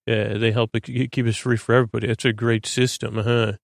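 Creaky-voiced man, rambling bedtime podcast host. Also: mid-range voice, little pitch movement, a little fast, dry recording, studio quality. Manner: meandering, stammering, trails into tangents; soothing, lulling delivery.

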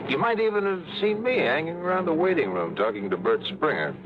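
A man's voice: fairly high pitch, sing-song, brisk, background noise. An actor playing a cynical, wisecracking American charter pilot who narrates his own story in a 1950s radio drama. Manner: dry, wisecracking, world-weary. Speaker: narrator and lead. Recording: dull 1950s radio broadcast with music.

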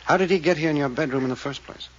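A man playing a steady, probing adventurer-detective in an old-time radio mystery, asking firm, clipped, insistent questions. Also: on the high side, racing, close to an everyday voice. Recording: muffled vintage radio recording with faint noise.

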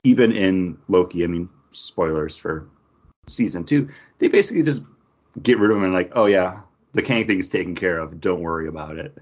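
Low-pitched husky man, casual podcast co-host. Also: expressive, moderate pace, a little mellow. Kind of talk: casual pop-culture discussion. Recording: dull, lo-fi podcast audio.

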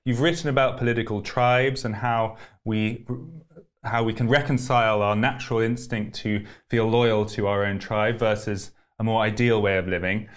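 A man hosting a podcast interview, asking questions in a measured, thoughtful way with hedges. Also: on the low side, level tone. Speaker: podcast host.

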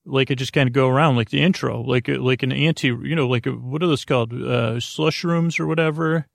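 Creaky, rambling man with soft filler words. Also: medium pitch, fairly even intonation, no room sound, studio quality. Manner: slow, meandering, soothing and self-deprecating. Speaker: sleep podcast host. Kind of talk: rambling bedtime podcast talk.

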